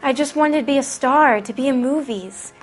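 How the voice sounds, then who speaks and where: A woman's voice: high-pitched, normal speed, soft background music, lightly acted. A woman voicing a naive, frightened teenage girl in a video game, hesitant and plaintive. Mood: defensive, hurt.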